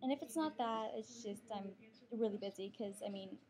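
A woman's voice: medium pitch, moderate pace, darkish tone. A teenage girl with a Texan accent, rambling and chatty with lots of fillers, talking to her webcam from a couch at home. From Texas. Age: teens. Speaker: vlogger. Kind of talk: casual webcam vlog. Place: living room couch indoors. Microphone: webcam on computer.

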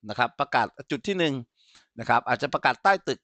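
Male instructor for an informal tech tutorial, mid-pitched, dry close sound, explanatory and checking in with learners. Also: animated, fast, pushed and bright, dry recording. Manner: explanatory, frequently checks that listeners follow. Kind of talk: informal software tutorial with live demonstration.

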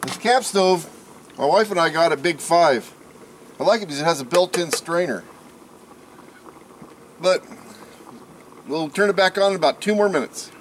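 A man's voice: fairly high pitch, expressive, moderate pace, pauses often. Folksy middle-aged man, noisy outdoor recording. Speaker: outdoor cooking vlogger. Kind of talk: casual cooking demonstration. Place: outdoors in a wooded backyard. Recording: outdoor camcorder with clear clattering background noise. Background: outdoor ambience, pots and cutlery clatter.

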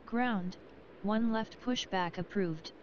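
A woman's voice: medium pitch, slow. A woman voicing an airline pilot in a simulator game, giving terse, formulaic readbacks through a radio filter. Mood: flat, procedural. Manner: formulaic, impersonal. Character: female airline pilot radioing the control tower. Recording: quiet, noisy, muffled aircraft radio channel.

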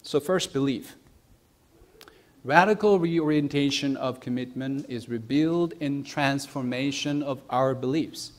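A young man preaching a sermon, speaking deliberately in short phrases with emphatic stresses. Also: mid-range voice. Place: church sanctuary.

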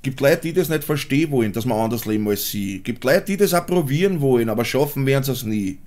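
A man's voice: medium pitch, animated, fast, a little mellow. A man in his forties with a Viennese accent, vlogging from a small home studio and then performing a spoken-word rap, blunt and crude. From Austria. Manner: blunt, crude, laughs at his own jokes. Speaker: musician and vlogger.

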